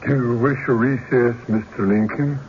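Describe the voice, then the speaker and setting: A male actor plays an authoritative courtroom judge in a radio drama, speaking formally. The recording is dull and clearly noisy. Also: mid-range voice, steady tone, normal speed, close to an everyday voice.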